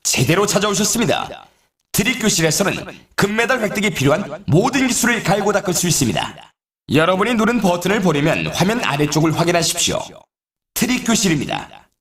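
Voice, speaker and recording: A man's voice: high voice, wildly swooping pitch, brisk, recorded from a distance, crowd noise, full character voice. A man playing a video game sports announcer and coach, speaking formally and emphatically in scripted lines. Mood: instructive. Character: excitable male snowboard game announcer and trick coach.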